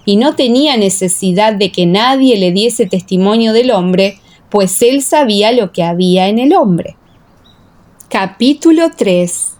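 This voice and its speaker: A woman's voice: medium pitch, darkish tone. A woman narrating a dramatized Bible audiobook in a solemn, carefully phrased way.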